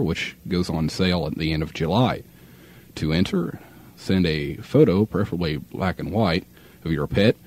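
A young American man reading local radio announcements in a measured, formal announcer manner, on an old aircheck tape. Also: low-pitched, mellow, breathy edge. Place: radio studio.

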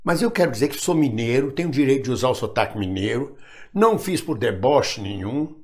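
A man's voice: mid-range voice, lively intonation, fairly quick, darkish tone. An older Brazilian man from Minas Gerais, an actor speaking straight to camera at home, emphatic and proud as he defends himself. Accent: Brazilian Portuguese, national standard. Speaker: actor addressing followers. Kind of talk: direct-to-camera monologue. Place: home room with bookshelf, indoors.

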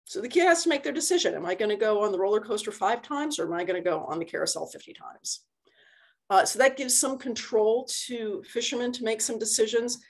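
A woman's voice: medium pitch, husky. A woman giving an informal lecture over a video call, conversational and explaining things with everyday analogies. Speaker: university lecturer giving a talk. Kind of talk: informal slide lecture and answers. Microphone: video call computer microphone.

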